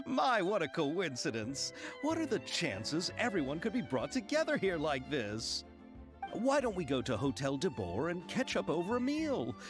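A flustered, eager film producer. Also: male voice, a little high, wildly swooping pitch, measured pace, music in the background. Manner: hesitant, apologetic, jovial.

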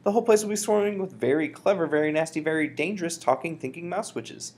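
An eager, earnest young mouse boy from a storybook, played by a man reading aloud. The voice is pitched high and leaps about with exclamations, with a slightly husky grain. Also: lively intonation, fast talker, a little mellow. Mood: worried. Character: excitable young boy turned talking mouse, eager and cautious.

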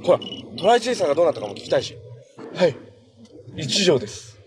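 An actor playing a cheerful, earnest, easygoing young hero in a TV drama, talking in a casual, boyish way. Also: male voice, fairly high pitch, sing-song, quick pace, background noise. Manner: casual, friendly, boyish phrasing. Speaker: actor in a TV superhero series. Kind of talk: naturalistic TV drama acting.

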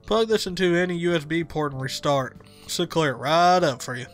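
A man reading the lines of a calm, brainy young male bear in a video game, low and matter-of-fact. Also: fairly quick, soft background music, lightly acted. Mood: deadpan.

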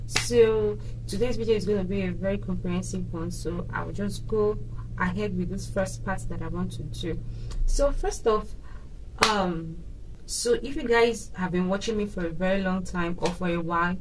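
A woman's voice: mid-range voice, normal speed, background noise. A young woman in her twenties with a Nigerian accent, talking straight to camera from a small room at home in an earnest, rambling way, often restarting her sentences. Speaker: YouTuber. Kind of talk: casual direct-to-camera vlog. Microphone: camera in front at desk distance.

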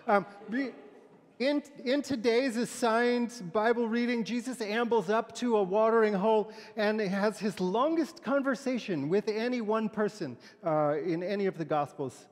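High-pitched pastor in an echoing church. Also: male voice, moderate pace. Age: middle-aged. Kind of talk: sermon with poem and scripture readings, conversational. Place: large church sanctuary, indoors, at a pulpit. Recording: echoey church hall, long reverb.

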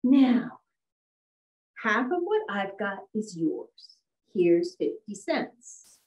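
An older woman playing a naive, daffy wife with an innocent, drawn-out delivery in 1940s radio comedy style. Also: medium pitch, sing-song, darkish tone. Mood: innocently pleased. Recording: roomy, distant from the mic.

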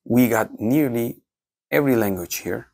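A man, a tech reviewer giving an off-the-cuff walkthrough of projector menus in a halting way, with many restarts. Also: mid-range voice, gravelly, a little mellow, no echo, studio quality. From Turkey. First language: Turkish.

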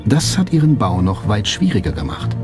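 Low, lively male documentary narrator over music. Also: normal speed. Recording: music bed under the voice. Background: background music.

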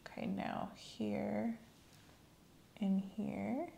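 A young woman plays a clinical examiner in an ASMR roleplay. She gives gentle, measured instructions in a soft, creaky voice, with some room noise behind her. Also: mid-range voice, fairly even intonation. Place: small room set up as an exam office.

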